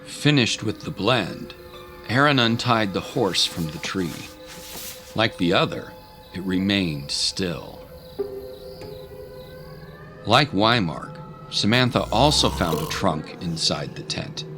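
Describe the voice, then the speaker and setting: A man narrates a dramatic scripted tale in a low voice. He takes it very slowly, with loud music playing behind him. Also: animated.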